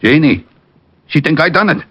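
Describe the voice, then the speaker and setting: An actor playing a slow-witted young hoodlum with rough ungrammatical street talk in a 1950s radio drama. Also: male voice, a little high, expressive, a little hiss or hum, lightly acted. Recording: muffled old radio recording.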